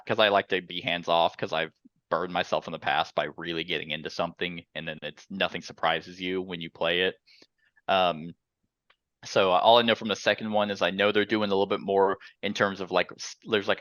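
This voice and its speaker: A man's voice: low voice, fairly bright tone, no room sound. A man chatting casually and animatedly as a board game show host, rambling like a storyteller. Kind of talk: casual chat.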